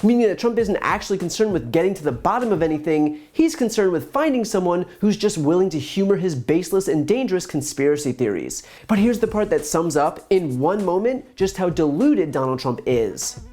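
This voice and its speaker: High-pitched American man, political commentator. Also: very animated, brisk, few pauses, a little mellow. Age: 30s. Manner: emphatic, sarcastic asides. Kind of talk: scripted political commentary to camera. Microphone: lapel mic.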